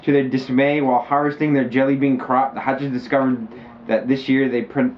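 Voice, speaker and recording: A man casually reading a children's story aloud, stumbling and halting as he goes. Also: medium pitch, flat delivery. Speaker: amateur story reader. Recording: muffled lo-fi home recording, background noise.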